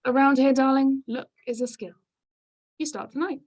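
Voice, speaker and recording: Abrupt, jaunty high-voiced manager played by a woman. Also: steady tone, a little mellow, natural voice. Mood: brisk, breezy. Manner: brisk, breezily dismissive. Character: abrupt yet jaunty female hotel HR manager, fifties. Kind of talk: script table-read acting.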